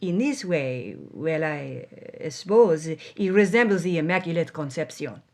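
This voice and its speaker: A woman voicing a suave, philosophical male Spanish film director, deliberate and hesitant as the character savors each phrase in a dramatized story. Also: low-pitched, expressive, a little mellow, voice pushed down. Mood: wry, pontificating.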